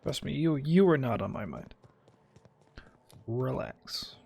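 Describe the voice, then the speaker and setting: A man's voice: mid-range voice, lively intonation, slow, vocal fry, darkish tone, slightly rough. A young man giving casual, sarcastic commentary while streaming a video game, often muttering asides.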